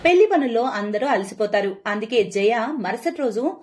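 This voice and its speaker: A woman with a fairly high voice narrates a cartoon story. She reads in a scripted storybook style, as the teller of the tale. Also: expressive, normal speed, a little mellow.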